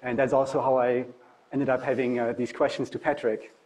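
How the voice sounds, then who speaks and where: A man's voice: medium pitch, level tone, a little fast, darkish tone, rough recording. A man from Germany with a German accent, speaking as a professor presenting an informal technical talk. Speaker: university professor, guest speaker.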